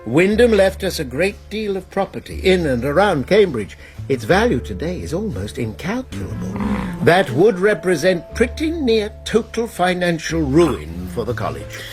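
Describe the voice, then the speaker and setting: A genial head of a college in a radio play. His voice is fairly high and animated, with an urbane, polite authority. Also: moderate pace, soft background music. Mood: urbane, reassuring. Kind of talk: British radio drama acting.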